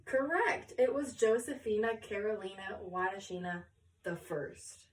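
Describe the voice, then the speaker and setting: A woman reading a children's story aloud with expressive, deliberate phrasing, pausing to question her listener. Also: medium pitch, recorded from a distance, rough recording.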